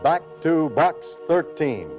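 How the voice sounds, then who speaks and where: A man announcing a 1940s radio mystery show in a dramatic, deliberate style. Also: fairly high pitch, lively intonation. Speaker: programme announcer. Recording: dull old radio recording, loud organ.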